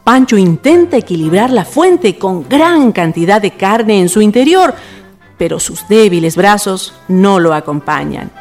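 A woman narrates a radio drama like a theatrical storyteller. Her mid-pitched voice moves in lively swoops over soft music. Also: a little slow. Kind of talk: scripted radio play narration.

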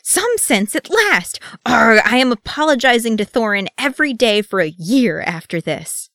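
A woman's voice: deep voice, little pitch movement, moderate pace, fairly bright tone, no echo, studio quality. A woman voicing an exasperated, anxious male dwarf in a fantasy story, given to fretful, sarcastic outbursts.

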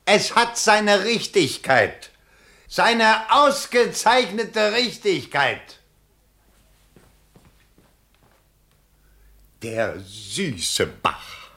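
An actor playing a pompous, agitated bourgeois election candidate in a theatrical German radio play, exclamatory and self-dramatizing. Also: male voice, mid-range voice, bright, forceful tone, slightly rough, full character voice. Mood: rapturous.

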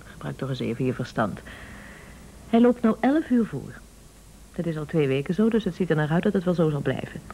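Een actrice in een Nederlands hoorspel speelt een sluwe, praktische echtgenote die bazig en vleiend aandringt. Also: female voice, deep voice, lively intonation, fairly quick, dark tone, slight background noise, low-quality recording. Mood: eager, insistent. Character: shrewd, scheming, persuasive wife, practical and bossy. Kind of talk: Dutch radio play acting.

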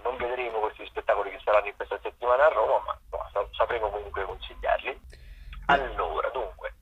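A man, a theatre critic, giving the week's theatre listings over the phone in a relaxed, rambling way full of hesitations. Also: lowish voice, fast talker, breathy edge. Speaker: theatre critic and regular contributor. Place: at a festival, away from the studio. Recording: tinny phone line.

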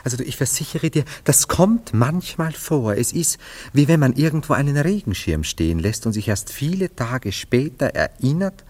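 A man's voice: medium pitch, very animated, moderate pace, few pauses, darkish tone. An actor in a radio play, playing a nostalgic, self-absorbed romantic man who muses theatrically. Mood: light, musing. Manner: wistful, musing, theatrical, savoring his words. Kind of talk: German radio play acting.

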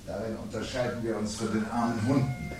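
An actor playing a brooding, articulate man who calmly awaits the world's end in a radio play, speaking in a measured, formal, sardonic way. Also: fairly low pitch, natural voice. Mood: resigned, brooding. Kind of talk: 1970s German radio play acting. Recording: 1970s radio production with audible background noise.

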